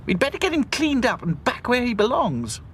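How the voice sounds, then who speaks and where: A man voicing a brisk, decisive female rag doll who leads the others. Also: extremely high pitch, huge pitch swings, fairly bright tone, a little hiss or hum, pitched above the performer's own voice, heavily acted.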